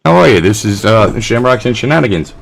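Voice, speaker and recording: Low-voiced male radio host. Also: lively intonation, brisk, gravelly, slight background noise. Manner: direct, questioning. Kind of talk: call-in radio hosting.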